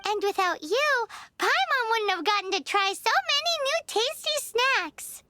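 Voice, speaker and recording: A woman voicing a tiny, childlike, excitable fairy companion in an anime video game. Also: very high voice, a little slow, no room sound, exaggerated voice. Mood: chirpy. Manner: refers to self in third person.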